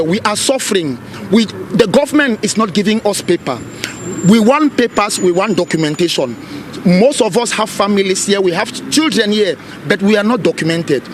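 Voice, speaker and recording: A man from Cameroon makes an earnest appeal out on a city street. His voice is high for a man, and faint traffic hums behind him. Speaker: protester. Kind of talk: speaking at a street protest.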